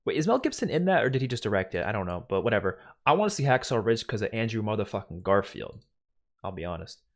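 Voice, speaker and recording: Young male streamer, light New York accent, casual and animated with plenty of swearing, close boom mic in a small room. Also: fast. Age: young adult. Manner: animated, swearing, laughs a lot. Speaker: streamer host. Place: small home room, indoors. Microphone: studio mic on boom, headphones.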